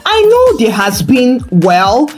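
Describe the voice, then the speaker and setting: A woman's voice: fairly high pitch, huge pitch swings, slow, faint music behind, heavily acted. A woman voicing a flustered, self-righteous young woman accused of theft in a folktale, with theatrical protest. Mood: sweetly defiant.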